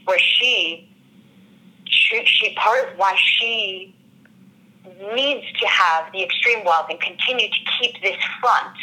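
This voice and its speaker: 밝고 또렷한 목소리의 여배우, 진지한 인터뷰. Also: female voice, mid-range voice, takes it slowly, pushed and bright, low-quality recording. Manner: earnest and reflective, searching for words. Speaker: actress discussing her role. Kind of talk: interview answers.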